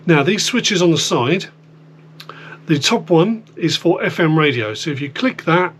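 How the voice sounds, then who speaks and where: British male demo presenter, slightly high voice, informal step-by-step explainer, some background hum. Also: animated, unhurried, fairly bright tone. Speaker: host of a radio gear demonstration video. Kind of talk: casual hands-on product demonstration. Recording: clear background noise with electrical hum.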